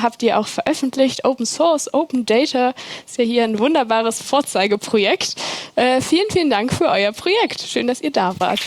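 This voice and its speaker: A woman's voice: fairly high pitch, no echo. A woman moderating a youth event, chatty and rambling with lots of fillers. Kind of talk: casual stage moderation.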